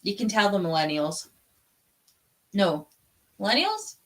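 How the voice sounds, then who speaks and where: A woman's voice: mid-range voice, wildly swooping pitch, unhurried. A young woman hosting a casual book chat, gushing and sweary like an enthusiastic fan. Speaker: book club live show host. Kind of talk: casual chat about books.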